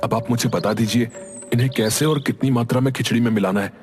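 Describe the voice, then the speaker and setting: A man's voice: mid-range voice, fast talker, darkish tone, natural voice. A man playing an earnest, determined young village son in a Hindi cartoon story, speaking respectfully. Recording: music behind the voice.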